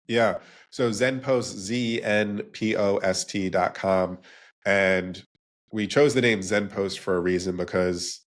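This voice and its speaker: Deep-voiced man, nasal from a cold. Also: steady tone, measured pace. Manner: rambling, restarts, lists in threes. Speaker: podcast guest, branding business co-founder. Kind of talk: casual interview talk.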